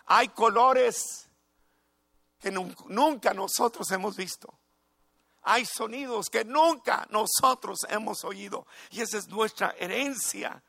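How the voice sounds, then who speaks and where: A middle-aged pastor preaches with fervor, his voice high and bright. He builds to shouts of praise. The sound is dry and close. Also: slow, gravelly, dry recording. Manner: fervent preaching, rising to exclamations of praise. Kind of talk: sermon.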